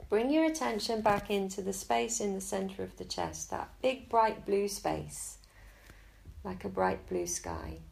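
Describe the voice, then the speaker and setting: A woman guiding a Yoga Nidra relaxation in a slow, soft and soothing way. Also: medium pitch, very animated, vocal fry, poor audio. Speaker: meditation guide.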